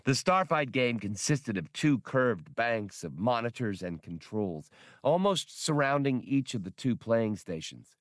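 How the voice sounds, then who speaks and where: A man's voice: medium pitch, very animated, a little slow, dry recording, clean studio sound. A man reading a science fiction novel as scripted narration. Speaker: audiobook narrator.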